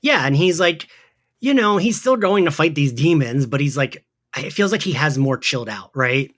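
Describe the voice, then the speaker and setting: Animated, chatty podcast host. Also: medium pitch, moderate pace. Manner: chatty, heavy use of "like" and "right". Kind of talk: casual chat recapping an audio drama.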